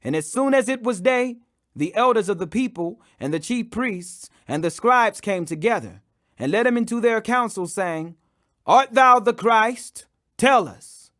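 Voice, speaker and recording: A man's voice: high voice, animated, moderate pace, a bit bright. A man reading scripture as an audiobook narrator, solemn and emphatic, declaiming the quoted speech. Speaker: audiobook reader.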